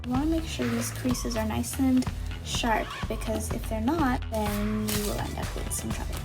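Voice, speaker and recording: Young female reader for children's stories and craft tutorials, high-pitched, over music. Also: sing-song, moderate pace. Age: young adult. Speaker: volunteer storybook reader and craft demonstrator.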